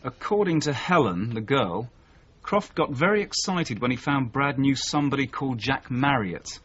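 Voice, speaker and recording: An actor playing an earnest, understated British detective sergeant in a 1980s radio drama, speaking in a measured, dutiful way. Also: male voice, medium pitch, expressive, breathy edge. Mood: businesslike, reporting. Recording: dull, noisy radio broadcast.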